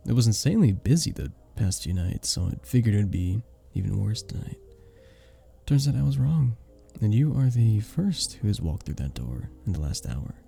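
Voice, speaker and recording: Very mellow, flirtatious vampire bartender played by a man. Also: lowish voice, big pitch swings, slight background noise. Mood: friendly, curious. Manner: smooth, teasing, flirtatious, unhurried pauses. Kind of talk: scripted ASMR roleplay, addressing the listener.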